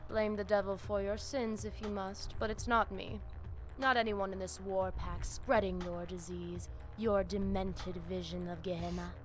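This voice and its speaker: Casting: actress for female vampire pack leader, video game. Commanding, oratorical, haughty, medium pitch, faint music underneath. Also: steady tone, natural voice. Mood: scornful, taunting. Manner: measured, oratorical, with Spanish words mixed in.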